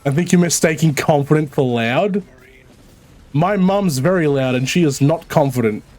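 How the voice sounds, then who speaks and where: Aussie male streamer, Melbourne accent, slightly high voice, easygoing sweary game chat. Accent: Australian, Melbourne. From Australia. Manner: relaxed, swears freely, quick rambling talk. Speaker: streamer playing co-op. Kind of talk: casual gaming chat.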